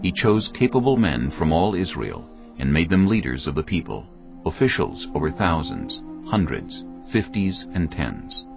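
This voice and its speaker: Deep male narrator, steady, grave, dull lo-fi, music clearly under. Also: moderate pace. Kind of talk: scripted scripture narration.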